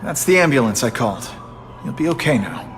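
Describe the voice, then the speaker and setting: A man's voice: medium pitch, expressive, fairly quick, fairly bright tone, slight background noise. A young actor playing a principled young male superhero in a video game, earnest and direct. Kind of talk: video game cutscene acting. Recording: game audio with music. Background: music.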